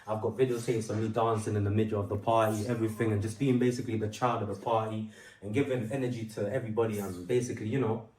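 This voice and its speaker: A man's voice: fairly low pitch, steady tone, quick pace, darkish tone, distant mic. Man telling his story in a roomy space. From Angola. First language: Portuguese. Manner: rambling with many fillers and restarts. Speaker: aspiring musician. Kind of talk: personal storytelling. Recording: roomy sound from off mic.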